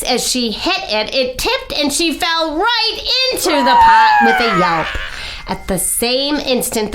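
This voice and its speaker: Slow, very animated woman reading a story. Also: sits high. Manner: animated, unhurried, dramatic emphasis. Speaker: library story-time reader. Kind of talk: expressive children's storybook narration.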